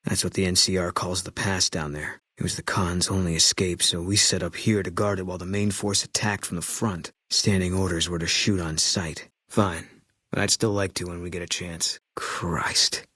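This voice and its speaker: An actor playing a grim, haunted former soldier and sniper in a video game, speaking in terse, clipped, guarded lines. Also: male voice, low voice, level tone, normal speed, sharp tone, breathy edge, dry recording, full character voice. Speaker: companion character. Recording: dry studio sound.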